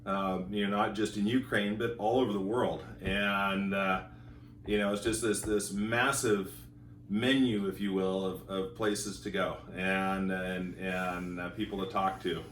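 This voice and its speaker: A man's voice: low-pitched, little pitch movement, fairly bright tone, slight background noise. A middle-aged man, a school teacher from Montana, telling his marriage story with many fillers and restarts. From Montana, United States. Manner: halting, many fillers and repeated words. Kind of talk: casual testimonial. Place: home in California. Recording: roomy echo, voice from a distance.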